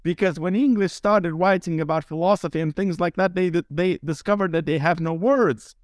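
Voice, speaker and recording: Podcast guest: man, smooth dry voice, earnest explainer with wry wit. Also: high-pitched, moderate pace, no echo. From Lithuania. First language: Lithuanian. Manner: earnest, explanatory, dryly humorous. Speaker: guest, translator and philosopher. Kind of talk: casual podcast conversation.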